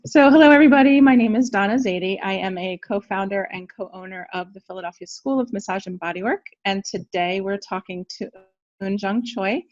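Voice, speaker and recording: A woman's voice: medium pitch, fairly quick, darkish tone, no room sound. A woman hosting a casual interview, upbeat, laughing often and giving short encouraging replies. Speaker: school co-founder and interview host. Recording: dull, dry lo-fi sound.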